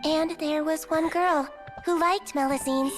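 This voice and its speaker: A woman voicing a small, gentle female Melusine doctor in a video game, telling a story softly. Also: sits high, fairly even intonation, measured pace. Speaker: game character. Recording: game audio with clear music behind.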